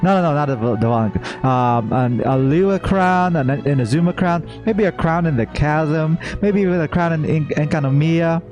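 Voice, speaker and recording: A man's voice: a little high, normal speed, hardly any pauses, a little mellow. A man doing casual live gameplay reaction commentary as a streamer, playful and joking. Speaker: game streamer. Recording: faint game music behind.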